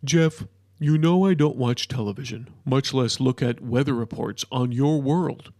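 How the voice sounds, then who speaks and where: A man voicing a big, friendly amphibious creature from a storybook, with a low, cartoonish voice and a patient, deliberate, mildly chiding manner. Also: a little mellow, dry recording, studio quality, lightly acted. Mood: puzzled, matter-of-fact. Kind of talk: storybook character voice.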